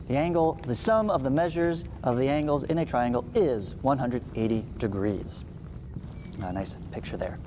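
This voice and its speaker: Male math teacher over clear background noise. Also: medium pitch, expressive, moderate pace. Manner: informal, explanatory, checks in with "right?" and "okay?". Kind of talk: read-along geometry lesson with explanatory asides. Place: small indoor room, seated before a green screen.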